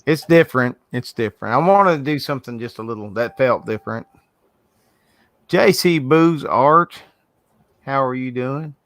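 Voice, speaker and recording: A man's voice: medium pitch, animated, moderate pace, a bit bright, dry recording. Southern male host, casual rambling delivery, dry laptop-call audio. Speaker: host of an art livestream. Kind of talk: casual chat. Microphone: video call from a laptop.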